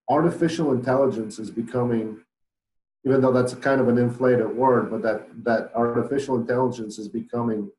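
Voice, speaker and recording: A man's voice: mid-range voice, warm tone, reverberant, low-quality recording. A middle-aged man with a Polish accent, a podcast guest who talks thoughtfully with many fillers and restarts. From Poland. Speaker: guest, business owner. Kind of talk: podcast interview.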